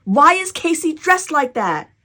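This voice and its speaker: A woman's voice: mid-range voice, very animated, moderate pace, a bit bright. A woman voicing a bossy but protective young big sister in a storybook, indignant and emphatic.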